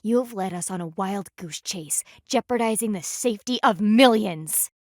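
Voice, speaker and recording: A woman's voice: medium pitch, moderate pace, a little mellow, dry recording, clean studio sound, lightly acted. A woman voicing a stern, proud panda warrior in a video game, speaking formally and with haughty, precise diction. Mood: indignant, stern. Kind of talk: scripted video game voice acting.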